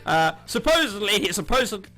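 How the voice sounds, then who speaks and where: Lively high-pitched man reading a game aloud. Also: normal speed. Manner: animated, jokey asides. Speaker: gaming video host. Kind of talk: reading a visual novel aloud with jokey commentary. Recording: music behind the voice. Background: game background music.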